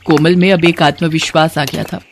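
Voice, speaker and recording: A woman's voice: low voice, fairly even intonation, fairly quick. Woman narrating children's moral stories. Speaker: storyteller. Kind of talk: scripted children's story narration.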